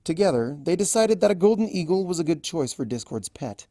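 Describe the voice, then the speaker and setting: Mellow, creaky storybook narrator. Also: medium pitch, very animated, brisk, no room sound, clean studio sound. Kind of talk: scripted fan fiction narration, expressive storytelling.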